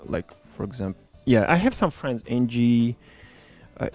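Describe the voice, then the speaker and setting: A man's voice: mid-range voice, very animated, normal speed, a little mellow, soft background music. A middle-aged man, a musician born in Russia and raised in Ukraine, chatting in an interview with many fillers, restarts and phrasing. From born in Russia, grew up in Ukraine. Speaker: musician guest. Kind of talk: casual interview chat. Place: small studio.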